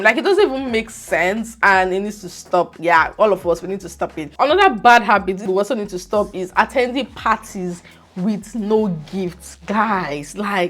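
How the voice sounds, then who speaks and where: A young woman from Nigeria with a Nigerian accent, talking to her viewers in a casual, animated and scolding vlog monologue. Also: mid-range voice. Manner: animated, scolding, pidgin words mixed in. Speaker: vlogger.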